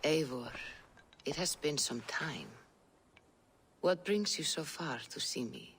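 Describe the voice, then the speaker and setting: Slow, solemn Norse seeress. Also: female voice, low voice, lively intonation, a little hiss or hum. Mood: grave, gentle. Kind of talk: video game character acting.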